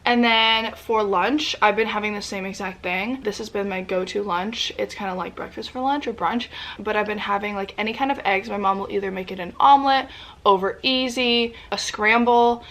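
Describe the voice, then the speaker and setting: A woman's voice: mid-range voice, steady tone, moderate pace, a bit bright. A young woman talks straight to camera at home with a stuffy, congested voice. Her delivery is bubbly and rambling, full of casual fillers. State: congested, sniffly voice. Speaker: lifestyle vlogger. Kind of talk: chatty sit-down vlog. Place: indoors at family home.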